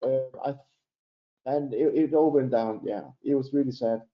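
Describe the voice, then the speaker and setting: A man from Denmark, a native Danish speaker talking English with a Danish accent, telling his story as a guest over a video call, halting with many fillers and restarts. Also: medium pitch. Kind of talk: casual interview storytelling. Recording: roomy echo, dull lo-fi sound.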